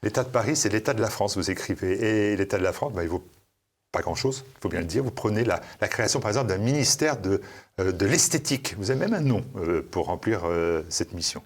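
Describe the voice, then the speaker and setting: A man's voice: lowish voice, lively intonation, brisk, breathy edge. A man hosting an interview, asking long leading questions with hesitant fillers. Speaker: interviewer host.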